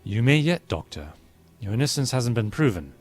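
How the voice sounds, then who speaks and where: A man's voice: medium pitch, big pitch swings, moderate pace, faint music behind. Man as a formal, wary naval lieutenant. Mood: threatening. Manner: formal, clipped military deference. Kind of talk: period audio drama acting.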